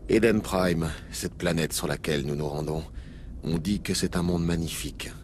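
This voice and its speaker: A grave, commanding alien agent in a sci-fi game, acted by a man. The voice is extremely deep, over a faint noisy ambience. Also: moderate pace, natural voice. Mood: grave, probing. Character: solemn, authoritative alien special agent aboard a warship. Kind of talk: video game voice acting.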